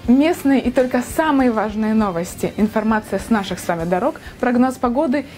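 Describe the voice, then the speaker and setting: A woman's voice: fairly high pitch, a little fast. Upbeat female radio promo announcer. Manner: lively, emphatic announcing.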